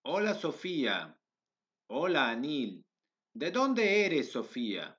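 A young man teaching a Spanish lesson over a webcam, drilling phrases again and again and switching into halting English. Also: fairly high pitch, huge pitch swings, fairly bright tone, muffled recording. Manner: slow, repetitive drilling of phrases. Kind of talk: online language lesson, mixing Spanish and English.

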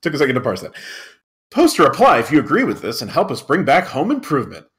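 A man's voice: medium pitch, huge pitch swings, fast talker, a bit bright. A man reading aloud for comedy and chatting casually, playful and stumbling a bit over his lines. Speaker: guest reader on a comedy podcast.

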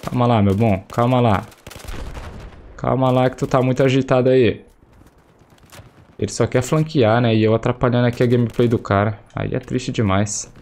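A man's voice: medium pitch, fairly even intonation, moderate pace, long pauses, a little mellow. Young Brazilian man with a cold. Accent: Brazilian Portuguese. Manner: chatty, slangy, exclaims at the action. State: recovering from a cold, voice slightly affected. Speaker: gameplay streamer. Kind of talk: casual gameplay commentary. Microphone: headset. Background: faint game gunfire and explosions.

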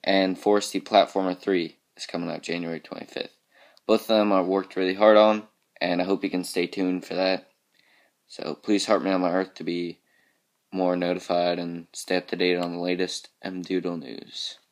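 A man walking through how he built his game levels, talking casually with a groggy, raspy voice because he has just woken up, often trailing off into quiet mumbles. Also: deep voice, flat delivery, normal speed. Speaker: level creator showing his game work. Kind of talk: casual screen-capture walkthrough.

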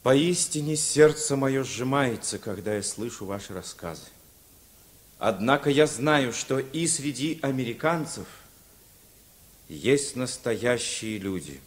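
A man's voice: medium pitch, expressive, unhurried, close to an everyday voice. An actor playing a compassionate, solemn man who declaims in a heartfelt way in a Soviet radio play.

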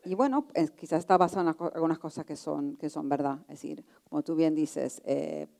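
A middle-aged woman moderates a discussion in a deep, mellow voice with plenty of fry. Her delivery is informal and conversational, restating ideas and illustrating them with examples. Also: brisk. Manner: informal, rephrasing and giving examples. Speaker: panel moderator. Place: indoor auditorium stage, seated on a panel.

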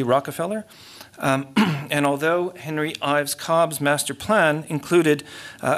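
A man's voice: fairly high pitch, fairly bright tone. Middle-aged man giving an academic lecture. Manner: measured, reads aloud with frequent restarts and asides. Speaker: keynote lecturer. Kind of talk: academic lecture read from notes. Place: large lecture hall stage with curtains. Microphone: lectern microphone.

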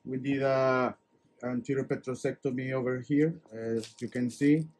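A man's voice: mid-range voice, fairly even intonation, normal speed, a little mellow, a little hiss or hum. Earnest man from El Salvador. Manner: earnest, hesitant, slightly broken English. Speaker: visiting neurosurgery fellow. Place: anatomy lab.

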